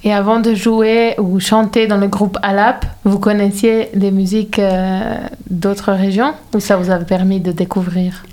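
A woman's voice: mid-range voice, little pitch movement, unhurried, few pauses, a little mellow. Curious woman interviewer, long questions. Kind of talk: conversational interview.

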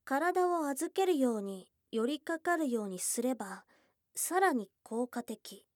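A woman voicing a shy young goblin girlfriend in an intimate ASMR voice drama, blunt and tomboyish in wording but flustered and tender, with breathy murmurs close to the mic. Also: high voice, animated, unhurried, no room sound. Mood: reading, bashful. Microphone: close ASMR microphone.

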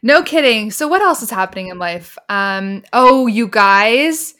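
A woman in her twenties co-hosting a podcast in casual chat, giggly and animated. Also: on the high side, a little slow. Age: 20s. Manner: giggly, animated, uses lots of "like". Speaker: podcast co-host.

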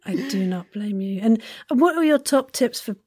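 A woman hosting a casual interview, chatting enthusiastically and playfully, quick to laugh. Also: on the high side, expressive, a little mellow, no room sound. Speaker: podcast host, interviewer. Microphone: sensitive condenser microphone.

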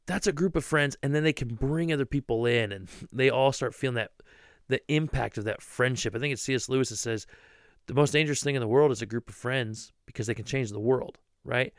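Ohio guy on a youth ministry podcast, smooth clear voice, dry close mic, rambles and repeats himself. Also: medium pitch, brisk, no room sound. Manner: talkative, repeats words when starting thoughts. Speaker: podcast co-host, youth pastor. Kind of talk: casual chat.

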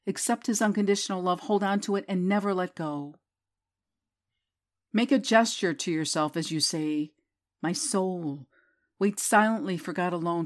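A woman leading a guided prayer meditation, reciting scripture in a slow, soothing way. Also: medium pitch. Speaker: prayer leader.

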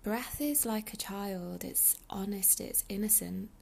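A woman leading a guided meditation in a soothing, unhurried, breathy soft delivery with pauses. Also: mid-range voice, slight background noise. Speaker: meditation guide, yoga teacher.

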